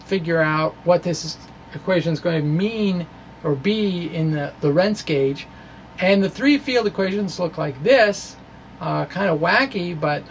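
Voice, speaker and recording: A middle-aged man with an American accent lectures informally on physics. His voice sits high for a man and often crackles with fry. He explains in a halting way with trailing asides, and there is clear noise in the background. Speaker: physics video blogger. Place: small indoor room with bookshelves. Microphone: microphone on a stand close in front.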